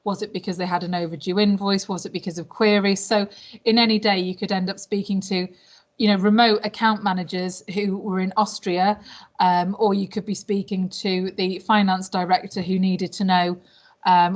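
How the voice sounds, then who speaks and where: A British woman in her late thirties speaks as an interviewee at a medium pitch. She explains things informally and chattily, often pausing to find her words. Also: level tone. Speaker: language teacher, interviewee. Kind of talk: informal interview answers about past jobs.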